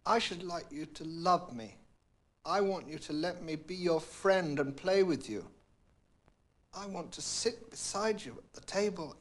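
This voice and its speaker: A man voicing a polite, earnest talking frog from a children's storybook, with formal, coaxing and deliberate phrasing. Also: high voice, pitched above the performer's own voice.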